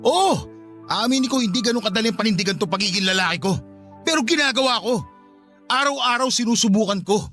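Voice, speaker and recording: A man's voice: high voice, animated, quick pace, bright, forceful tone, soft background music. A man playing an exasperated, wounded husband, emotional and confrontational, in a radio drama. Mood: hurt, exasperated. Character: exasperated, wounded husband, defiant and weary of distrust. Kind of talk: radio drama dialogue acting.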